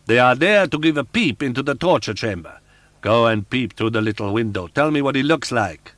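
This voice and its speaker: A man's voice: lowish voice, expressive, normal speed, no echo. A man reading an audiobook, voicing a volatile, jealous masked phantom who taunts and rages in a raised, grating voice. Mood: taunting.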